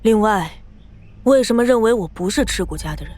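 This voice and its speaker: A woman playing a haughty, scornful young noble swordswoman in an animated drama, cold and condescending. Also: mid-range voice, fairly quick, close to an everyday voice. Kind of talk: animated audio drama acting. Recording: echoey hall reverb, music behind.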